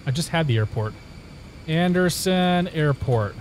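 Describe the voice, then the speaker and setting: Rambling storyteller man, slightly high voice. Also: lively intonation, measured pace, a little hiss or hum, low-quality recording. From Indiana. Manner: rambling storytelling with many restarts. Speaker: live streamer. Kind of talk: casual chat with viewers.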